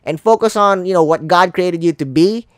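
A man's voice: sits high, moderate pace, smooth, no echo, clean studio sound. A man hosting a podcast, a Filipino speaker of English as a second language, talking earnestly and encouragingly about love and positivity. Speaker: podcast host. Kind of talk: casual podcast interview.

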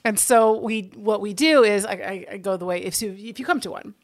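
Woman, thirties, gravelly fry, medium pitch, emphatic, enthusiastic, casual interview chat, dry recording. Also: fairly quick, no room sound. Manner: enthusiastic, emphatic, repeats herself for stress. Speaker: guest, organizer of a play-reading group; actor.

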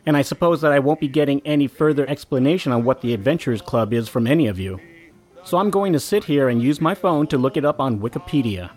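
An actor playing a naive, excitable everyday guy in an audio drama, talking naturally to his friends. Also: medium pitch, fast talker, natural voice. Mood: puzzled.

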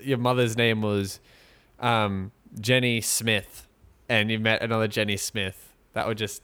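A man co-hosting a podcast in casual chat, rambling and joking with halting restarts. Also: lowish voice, little pitch movement, fairly bright tone, clear, resonant tone. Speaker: podcast co-host. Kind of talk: casual chat, mock sponsor read.

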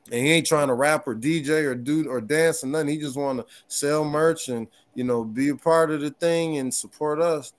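A man talks in a casual podcast conversation, his voice thin and of middling pitch. He rambles, circling back and restarting his thoughts as he goes. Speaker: guest hip hop artist.

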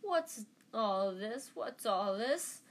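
Woman acting grown man wizard, self-important, hearty, booming, unhurried, storybook character. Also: expressive, full character voice. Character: jovial, self-important, showy adult male wizard.

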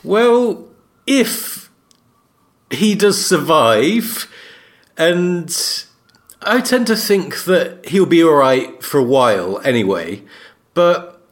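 A man co-hosting a podcast discussion, musing in a measured way and posing questions. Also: fairly high pitch, big pitch swings. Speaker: podcast co-host.

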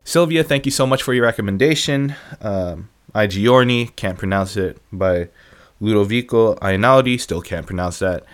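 A young man with a somewhat low voice talks through a long countdown in a loose, offhand way. He pokes fun at himself as he goes and rattles through the entries one after another. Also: normal speed, faint music behind. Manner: offhand, self-deprecating, rattles through a list. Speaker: music reviewer ranking viewer song picks. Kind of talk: casual vlog countdown.

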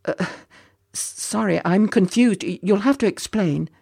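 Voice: medium pitch, lively intonation, measured pace, vocal fry, a little mellow, dry recording, clean studio sound. A storybook reader voicing an earnest, kindly young groom boy who is timid but eager and a little hesitant. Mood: puzzled, apologetic.

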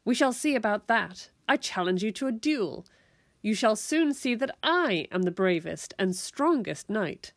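A woman's voice: medium pitch, very animated, a little slow, dry recording, clean studio sound. A woman voicing a young boy in a storybook who plays a bold, boastful knight with grand, mock-chivalrous speech. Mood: boastful, playful.

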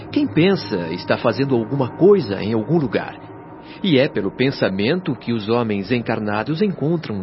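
Actor as solemn spirit guide, animated intonation. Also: male voice, mid-range voice, lightly acted. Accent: Brazilian. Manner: solemn, articulate, instructive, formal diction. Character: male spirit guide Lísias, patient, earnest, learned teacher. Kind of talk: Brazilian radio drama acting, didactic. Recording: dull lo-fi sound, faint music behind.

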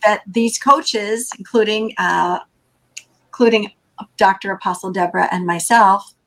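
A woman's voice: medium pitch, unhurried. A middle-aged woman hosting a podcast, talking in an earnest, enthusiastic way with some fillers. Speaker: podcast host. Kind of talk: casual podcast chat.

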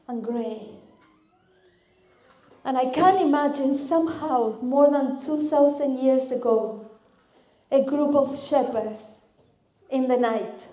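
A woman from Argentina with an Argentine Spanish accent and Spanish as her first language, preaching as a guest speaker in a church, expressive and lively as she tells stories. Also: a little high. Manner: expressive, lively storytelling with vivid lists. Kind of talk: sermon. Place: church hall. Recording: echoey church hall.

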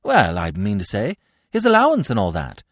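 Flustered posh young English gent, swooping pitch. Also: male voice, huge pitch swings, moderate pace, no room sound, studio quality. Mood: nervous, eager. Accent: British upper-class. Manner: flustered, rambling, slangy. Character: excitable, dithering young upper-class English gentleman.

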